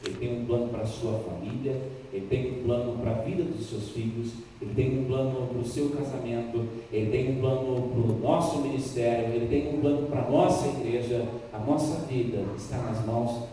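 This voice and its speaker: A man's voice: medium pitch, mellow. Brazilian male preacher in a very echoey hall. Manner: emphatic, repetitive phrasing with dramatic pauses. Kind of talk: sermon with Bible reading. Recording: echoey hall, distant mic, faint noise.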